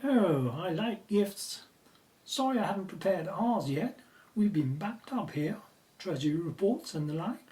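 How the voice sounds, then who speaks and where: A woman's voice: lowish voice, big pitch swings, a little slow, dark tone. A woman playing a breezy, easily bored British Prime Minister in an audio drama, chatty and self-absorbed, with a British accent. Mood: breezy delight.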